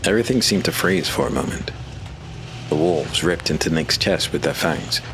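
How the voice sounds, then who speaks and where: A man narrating a dark fantasy audio drama in measured, dramatic storytelling. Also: very deep voice, expressive, background noise.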